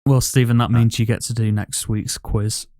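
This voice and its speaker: A man's voice: medium pitch, flat delivery, normal speed, darkish tone. A man hosting a casual podcast quiz, reading out questions and answers. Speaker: podcast host and quizmaster.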